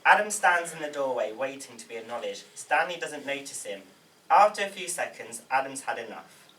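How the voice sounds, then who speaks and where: A man's voice: medium pitch, sing-song, a little fast, sharp tone. A man reading script stage directions aloud, stumbling a little over words. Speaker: stage directions reader at a table read. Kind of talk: read-aloud script narration. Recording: distant mic, faint room noise.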